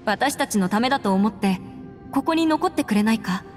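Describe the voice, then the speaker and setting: A woman's voice: a little high, animated, brisk, close to an everyday voice. A woman voicing a composed, resolute young adventurer in a Japanese anime game, speaking in an articulate, slightly formal, earnest way. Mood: pleading, firm. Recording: long reverb, music behind.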